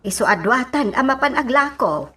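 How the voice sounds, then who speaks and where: A woman's voice: high-pitched, lively intonation, normal speed, sharp tone. A woman acting a sturdy, industrious older woman in an Ilocano radio drama, homely and conversational. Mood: determined, eager.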